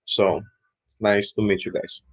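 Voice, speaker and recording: Young man with low, relaxed speech. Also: unhurried, poor audio. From Equatorial Guinea. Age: young adult. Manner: relaxed, rambling with fillers. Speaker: student guest. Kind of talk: casual interview answers.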